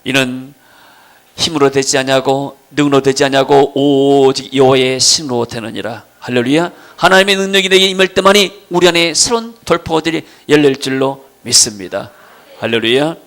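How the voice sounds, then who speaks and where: Middle-aged male pastor, Jeolla accent, creaky gravelly voice, sermon delivery, emphatic then quieter storytelling. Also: mid-range voice, normal speed. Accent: Jeolla (Gwangju). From Gwangju region, South Korea. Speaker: pastor preaching. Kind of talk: sermon with personal testimony.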